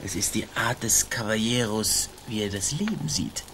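An actor playing a boastful, swaggering Spanish colonial army sergeant in a theatrical, declamatory radio play style. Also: male voice, fairly low pitch, lively intonation, moderate pace, a bit bright, a little hiss or hum. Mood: admiring, declamatory.

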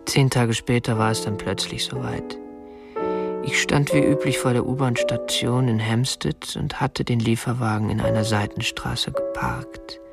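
Casting: young obsessive kidnapper, stiffly polite, matter-of-fact, gravelly edge, radio drama. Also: male voice, mid-range voice, expressive, unhurried, loud music behind the voice. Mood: detached, confessional. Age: young adult. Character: prim, obsessive young male kidnapper confessing his crime. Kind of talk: radio drama narration and dialogue.

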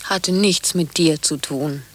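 A woman's voice: low voice, slow, fairly bright tone, husky, heavily acted. An actress playing a wry, self-assured woman in a radio play, making dry, mocking asides. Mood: playful, smug.

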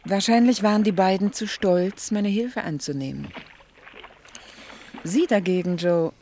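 A woman's voice: medium pitch, unhurried, mellow, close to an everyday voice. An actress playing a worldly, ironic middle-aged society lady in a 1960s German radio drama, teasing and questioning expressively. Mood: gossipy, teasing. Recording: faintly noisy 1960 radio recording.

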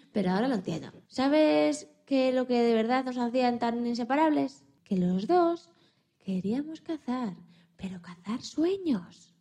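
Woman as a young male dog, high soft-edged voice, mellow, tender, timid dreamer, expressive children's radio storytelling. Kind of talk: children's story radio play, first-person narration.

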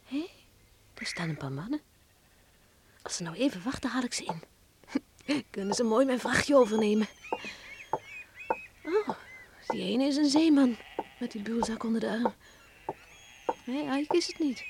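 Casting: young country girl in radio drama, chatty and dreamy, high voice, slow delivery. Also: expressive, noisy background. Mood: wistful, musing. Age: young adult. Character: young island farm girl, cheerful, wistful, chatty. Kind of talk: Dutch radio play acting.

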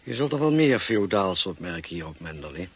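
Gravelly, brisk lord of the manor. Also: male voice, deep voice, sing-song, slight background noise. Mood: genial, brisk. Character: brisk, irritable upper-class husband, master of the manor. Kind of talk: radio play acting.

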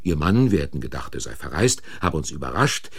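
A man wrongly cornered in a radio crime play. His low, slightly rough voice moves widely in pitch. He speaks with emphatic indignation, tending to stammer as he defends himself. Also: very animated, a little fast, full character voice. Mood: bitter narrating. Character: agitated man accused of murder, pleading his innocence. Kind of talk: German radio crime drama acting and first-person narration. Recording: vintage radio play recording.

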